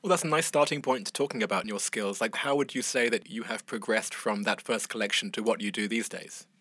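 Low-voiced man interviewing, dry sound. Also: fairly quick, fairly bright tone, slightly rough, no room sound. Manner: brief, encouraging replies. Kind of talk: casual interview questions.

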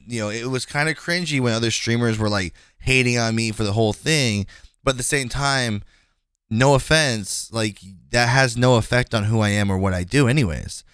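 A man's voice: fairly low pitch, a bit bright, clear, resonant tone, dry recording, clean studio sound. A man in his twenties hosting a casual podcast conversation, speaking frankly and rambling with many fillers and restarts. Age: 20s. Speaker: podcast host.

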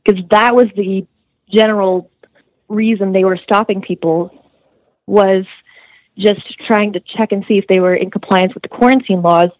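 A woman's voice: medium pitch, fairly even intonation, moderate pace, darkish tone, no echo. Young woman, earnest and detailed, dry close sound, muffled lo-fi recording. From United States. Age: young adult. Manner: detailed, earnest storytelling with fillers. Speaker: student interviewee. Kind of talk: recounting a story in an interview.

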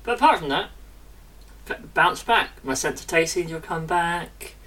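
A woman in casual podcast chat, her voice bright and forward in tone. She talks in quick bursts, restarting and circling back, and her voice comes from a little way off the mic. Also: lowish voice, distant mic. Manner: chatty, self-interrupting, lively. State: recovering from illness. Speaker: podcast co-host.

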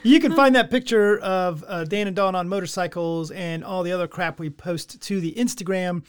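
High-voiced man joking on a podcast. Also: moderate pace, clear, resonant tone. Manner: joking, crude, rambling with restarts. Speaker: podcast co-host. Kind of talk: casual banter.

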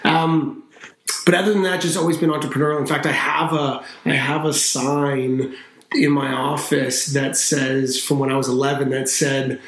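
A man in his thirties from Canada, a company founder talking about his life in a casual podcast interview, relaxed and chatty with lots of fillers. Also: mid-range voice, moderate pace. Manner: relaxed, talkative, with frequent fillers and restarts. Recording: roomy echo.

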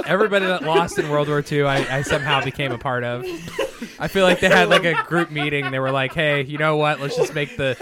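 A man's voice: a little high, a little fast, a loud crowd. A young man co-hosting a podcast, crude and joking, often laughing as he talks. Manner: crude, joking, laughs through his lines. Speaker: podcast co-host. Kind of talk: casual chat.

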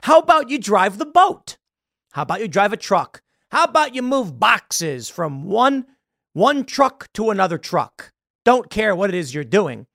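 A man in his late thirties giving political commentary in a sarcastic, mocking tone. His voice sits fairly high and jumps around a lot. The sound is dry and close, with no room echo. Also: big pitch swings, unhurried, gravelly, fairly bright tone. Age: 30s. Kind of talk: reacting to a video, commentary.

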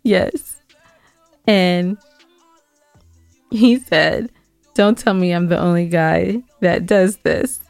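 A woman's voice: fairly low pitch, very slow, creaky, pauses often, a little mellow, no room sound, faint music behind. A woman co-hosting a podcast in casual, playful conversation, teasing her partner. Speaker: podcast co-host. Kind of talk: casual bantering chat.

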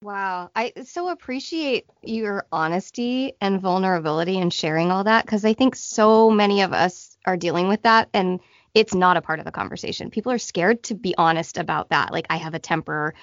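A woman's voice: medium pitch, moderate pace, no room sound. A woman co-hosting a parenting podcast, responding in an affirming, appreciative way. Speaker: podcast co-host. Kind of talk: podcast interview, conversational. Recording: dull, dry sound.

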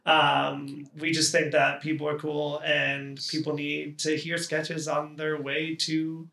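A man's voice: a little high, monotone, slow, pushed and bright. A man hosting a podcast in a casual, informal and enthusiastic way. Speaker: podcast host.

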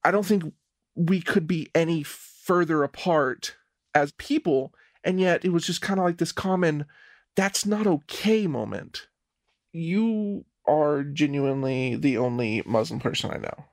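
A man's voice: a little high, measured pace, no room sound, clean studio sound. A man speaking hesitantly and thoughtfully in a recorded personal conversation.

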